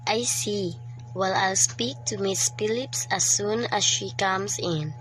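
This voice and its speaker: An actress as a hospital switchboard woman in a radio drama, with a medium-pitched voice. She is courteous and unruffled, sticking formally to procedure, with faint music behind her. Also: takes it slowly, fairly bright tone, low-quality recording. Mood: politely formal. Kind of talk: radio thriller acting.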